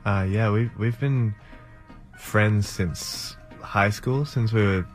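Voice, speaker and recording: Deep-voiced Australian man, easygoing. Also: slow, faint music behind. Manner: easygoing, trails off, restarts sentences. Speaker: musician guest. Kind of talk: casual interview. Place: radio studio.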